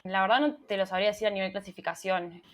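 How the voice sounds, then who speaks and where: A woman's voice: medium pitch, quick pace. A young Argentine woman, a UX writer, answering interview questions in a casual, thoughtful way with many restarts. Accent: Argentine Spanish.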